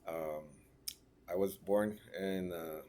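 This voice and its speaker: A man gives a class presentation. He pauses often and searches for words, checking in with short tag questions as he goes. A faint hiss of noise sits under the recording. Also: low voice, steady tone, mellow. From Mexico (raised in Ciudad Juárez). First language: Spanish. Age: 30s. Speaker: registered nurse.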